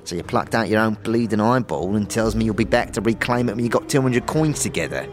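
A man voicing a bored, surly, squat goblin-like barkeep in a fantasy audio drama, gruff and grumbling with streetwise slang. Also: on the low side, lively intonation, fairly quick, a little hiss or hum, close to an everyday voice.

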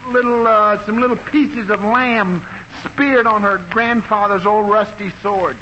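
An actor playing a timid, dim-witted American husband in a 1950s radio sitcom, stammering and nervous. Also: male voice, sits high, steady tone, a little slow, bright, forceful tone. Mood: flustered, worried. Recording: muffled old radio recording, faint noise.

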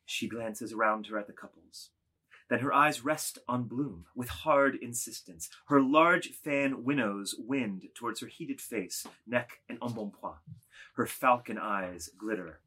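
A man narrating a literary drama, reading scripted stage directions in a deliberate, dramatic way. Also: mid-range voice, sharp tone, breathy edge.

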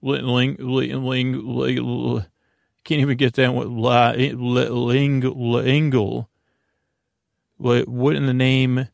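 Gravelly, creaky man rambling for bedtime. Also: mid-range voice, little pitch movement, no echo. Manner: meandering, halting, trails off and repeats words; stammers on words. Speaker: host of a bedtime sleep podcast.

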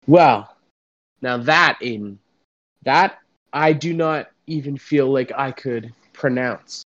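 Slow, solemn Canadian man on a dull mic. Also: mid-range voice, a bit bright. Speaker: podcast co-host. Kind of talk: deadpan mock-serious comedy chat. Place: home, remote recording. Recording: dull, dry, lo-fi sound.

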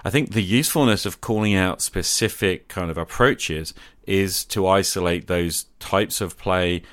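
A man hosting a podcast in a conversational, earnest and reflective way, with measured phrasing. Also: deep voice, smooth, no room sound. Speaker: podcast host. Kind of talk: conversational podcast talk on tabletop roleplaying.

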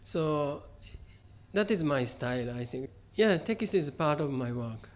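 A middle-aged man from Japan with a Japanese accent, answering interview questions about his art in a halting way, with many fillers and restarts. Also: fairly high pitch, lively intonation. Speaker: visual artist, interview guest. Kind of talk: conversational interview.

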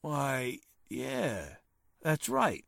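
A woman playing a folksy, excitable male guard in a 1940s-style radio mystery, with uneducated speech. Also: a bass voice, drawn-out words, creaky, dry recording, clean studio sound, full character voice. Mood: plain, helpful.

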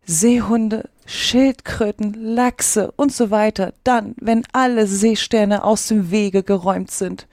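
A tearful, wistful storybook mock turtle, played by a woman, drawing out the words solemnly. The voice is soft-edged and mellow, recorded close and dry. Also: mid-range voice, steady tone, no room sound, voice pushed up. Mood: wistful, eager. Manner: slow, drawn-out, solemn. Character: sad mock turtle, female, tearful, wistful dance enthusiast. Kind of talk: storybook reading with slow, mournful singing.

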